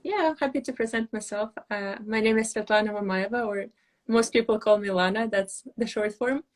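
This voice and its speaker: A young woman from Moldova, a pageant titleholder, chatting earnestly on a live video call with soft laughs and fillers. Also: medium pitch, fairly quick. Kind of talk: casual live video chat.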